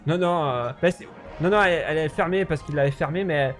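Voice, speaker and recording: A man's voice: on the high side, fairly quick, soft background music. A man running a tabletop game as game master, talking in a hesitant way with many restarts; French is not his first language.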